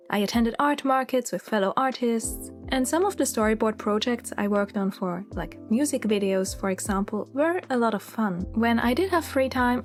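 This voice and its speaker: A woman in her thirties with a Swiss German accent, narrating her story in a candid, chatty, reflective voice-over. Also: on the high side. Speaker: illustrator and channel host.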